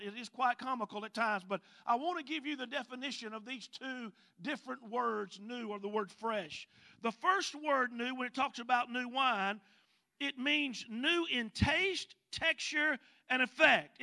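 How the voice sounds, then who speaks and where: Gravelly Mississippi preacher, high and bright. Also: male voice. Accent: Southern US, Mississippi. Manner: drawn-out emphatic scripture reading, calls for responses. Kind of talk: sermon.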